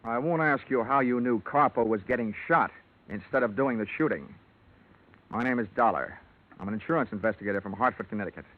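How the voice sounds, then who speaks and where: An actor playing a hard-boiled, wisecracking insurance investigator in a 1940s radio detective drama, with a wry, clipped, deadpan delivery. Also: male voice, mid-range voice, a little fast, close to an everyday voice. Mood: dry, guarded. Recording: thin, phone-like old radio recording.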